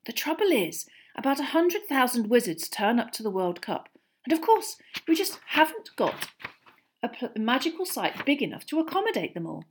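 A woman's voice: sits high, big pitch swings, normal speed, breathy edge, voice pushed up, full character voice. A woman voicing a mild, earnest middle-aged wizard father in a storybook, explaining patiently with slight hesitation. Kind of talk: acted audiobook dialogue.

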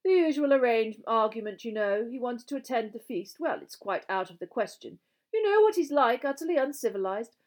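Woman doing a prim, fussy gentleman ghost. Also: on the high side, expressive, fairly quick, voice pushed up, lightly acted. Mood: indignant. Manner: prim, indignant. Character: fussy gentleman ghost, proper, mournful and disapproving.

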